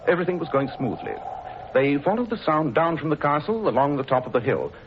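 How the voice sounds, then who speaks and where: An actor playing a calm, learned detective who talks in a measured, lecturing way with dry amusement, in a 1940s radio play. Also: male voice, on the high side. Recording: dull old radio recording with hiss.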